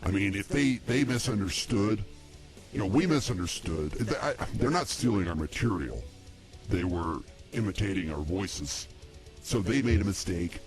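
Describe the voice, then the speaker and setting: Male actor as easygoing, hesitant comedy co-host, low-pitched and plain-spoken, music playing behind him. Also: animated, moderate pace, natural voice. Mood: conciliatory. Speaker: podcast co-host. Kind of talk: improvised comedy banter.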